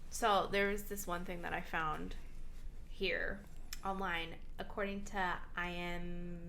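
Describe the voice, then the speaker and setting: A woman's voice: on the low side, little pitch movement, slow pace, vocal fry, bright, forceful tone, slight background noise. A woman co-hosting a podcast, chatting casually and reading out a list between her own remarks. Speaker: podcast co-host. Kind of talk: casual chat.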